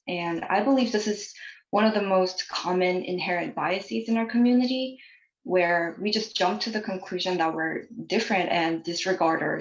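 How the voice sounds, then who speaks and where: A young woman from New York, speaking as a panelist and sharing personal remarks in an earnest, measured way over a video call. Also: on the low side, echoey room, highs cut off.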